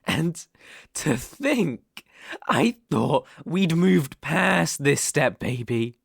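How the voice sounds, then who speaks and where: A man's voice: a little high, big pitch swings, drawn-out words, vocal fry, breathy edge, dry recording, full character voice. A British man playing an obsessive, possessive young kidnapper in an intimate ASMR roleplay, coaxing and menacing in a condescending way, close on the microphone. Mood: angry, controlled.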